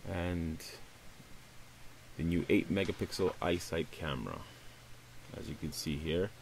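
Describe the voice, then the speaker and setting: A man with a very low-pitched voice walks viewers through a phone unboxing in a relaxed, upbeat tone. He calls his audience "guys" and speaks close to the mic. A faint hum sits under his voice. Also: measured pace, vocal fry. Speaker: tech reviewer. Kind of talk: casual unboxing voice-over.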